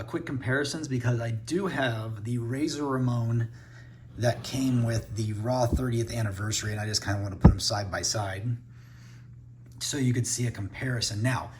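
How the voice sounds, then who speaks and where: A man's voice: mid-range voice, darkish tone, a little hiss or hum. A man giving a casual unboxing review, thinking aloud as he handles the toys. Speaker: toy reviewer.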